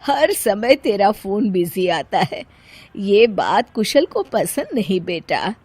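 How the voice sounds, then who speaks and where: A woman playing a doting, gently worried mother-in-law in a Hindi moral story drama. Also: mid-range voice, sing-song, takes it slowly, slightly rough, dry recording, exaggerated voice. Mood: concerned.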